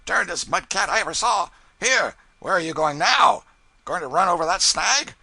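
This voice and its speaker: A man's voice: high-pitched, animated, normal speed, vocal fry, sharp tone, dry recording, voice pushed up, heavily acted. A man voicing a young male cub pilot who mockingly imitates a bullying boss, barking orders.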